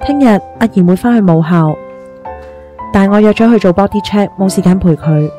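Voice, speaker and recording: A woman playing a caring young friend in a Hong Kong Cantonese radio drama. Her voice is soft-edged and warm, mid-pitched, with a gentle, measured delivery. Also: soft background music, lightly acted. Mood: concerned, earnest.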